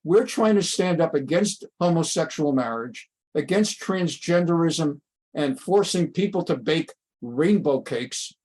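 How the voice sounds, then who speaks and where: A man's voice: a little high, lively intonation, a little slow, a little mellow. A man speaking as an interview guest and rabbi, giving opinionated answers in an emphatic, rhetorical manner. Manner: emphatic, rhetorical questions, acts out dialogues.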